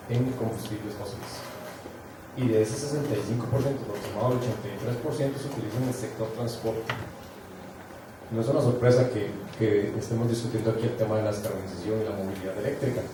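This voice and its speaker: A male university researcher, explaining figures on a conference panel with frequent fillers. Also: mid-range voice. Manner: explanatory, self-correcting with fillers. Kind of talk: panel talk with statistics. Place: large hall. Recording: echoey hall, far from mic, noisy.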